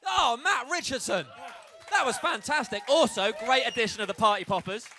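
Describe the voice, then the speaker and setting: A man's voice: extremely high pitch, expressive, normal speed, bright, forceful tone. A man hosting a live launch event, upbeat and rallying a crowd of people to join in. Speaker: host. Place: indoor event space with live audience.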